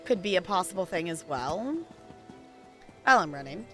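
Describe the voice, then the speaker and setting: A woman's voice: fairly low pitch, sing-song, normal speed, pushed and bright, soft background music. A woman game streamer giving casual, chatty live commentary and reacting to the game for her viewers.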